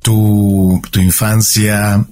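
Low-voiced man telling a guest's story like a fable, soft music in the background. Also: level tone, very slow. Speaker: podcast co-host. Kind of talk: storybook-style introduction, then interview questions. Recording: faint music behind.

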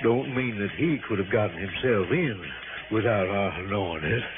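An actor playing a big, lazy police sergeant of about fifty in a 1960s radio drama, folksy, hesitant and defensive. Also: male voice, on the low side, normal speed, lightly acted. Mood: complacent. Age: 50s. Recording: muffled, noisy old radio recording.

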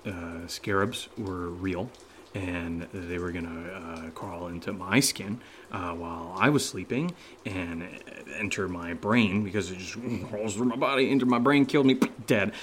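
A man's voice: low voice, a little slow, fairly bright tone, slight background noise. A man playing a jittery fellow in a horror audio drama, stammering, swearing and rambling to himself. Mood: nervous rambling. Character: jittery man nervously talking to himself in an attic. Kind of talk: naturalistic solo horror audio drama acting.

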